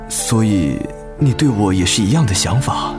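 An actor with a Taiwanese accent playing a middle-aged husband in a radio drama, earnest, gentle and apologetic as he tries to win back his wife. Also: on the low side, expressive, normal speed, natural voice. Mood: earnest, pleading. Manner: gentle, pleading. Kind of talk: Mandarin radio drama acting. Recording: music bed under the voice.

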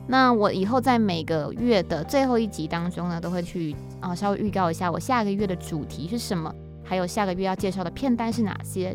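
A woman with a Taiwanese accent hosting a podcast in casual solo talk, chatty, informal and a little self-deprecating. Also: lowish voice. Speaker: podcast host. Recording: music playing under the voice. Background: background music.

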